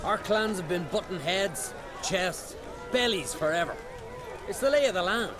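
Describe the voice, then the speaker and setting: Brash young warrior. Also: male voice, sits high, lively intonation, moderate pace, a crowd in the background, background noise. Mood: amused, boastful. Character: young clan warrior, brash, rueful, proud brother. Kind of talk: game cutscene acting.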